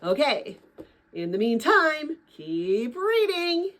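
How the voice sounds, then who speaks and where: A woman reading a children's book aloud in an expressive, emphatic storytelling manner, with casual asides to listeners. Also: high voice, slow pace. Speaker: read-aloud host, narrator.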